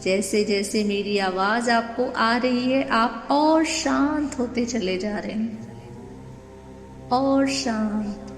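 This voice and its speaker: A woman leading a guided meditation in a gentle, soothing manner, unhurried and leaving long pauses. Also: on the high side. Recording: echoey long reverb with music. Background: meditation music.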